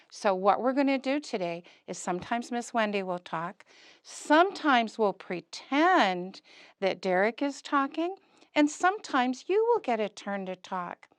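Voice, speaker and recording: A woman's voice: on the high side, clear, resonant tone, dry recording. A woman puppeteer presenting to young children, using simple, emphatic phrases and lots of questions.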